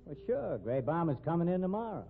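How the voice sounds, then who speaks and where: An actor playing a cocky, evasive rodeo cowboy in a 1950s radio drama, with American accent and folksy Western slang. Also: male voice, fairly high pitch, big pitch swings, quick pace, vocal fry, narrow, tinny audio. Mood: cocky, assured. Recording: thin, noisy vintage radio recording.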